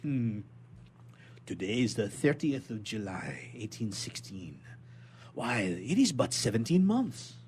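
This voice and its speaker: A man's voice: on the low side, sing-song, slow pace, darkish tone, slight background noise. A man voicing an authoritative prison inspector, formal and questioning, in a dramatic audiobook reading. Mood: brisk questioning. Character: measured, authoritative prison inspector, aloof yet somewhat sympathetic man.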